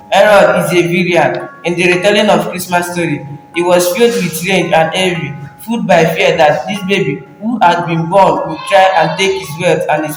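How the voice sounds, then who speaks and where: Young male narrator, high voice, devotional script, long hall reverb, faint music behind. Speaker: narrator in a school nativity play.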